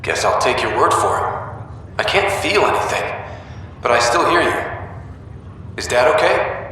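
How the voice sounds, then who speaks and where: A man playing a confused, distressed young brother in a coma, halting and bewildered, in a dramatic video game scene. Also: mid-range voice, big pitch swings, bright, forceful tone. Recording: distant, very echoey hall, slight noise.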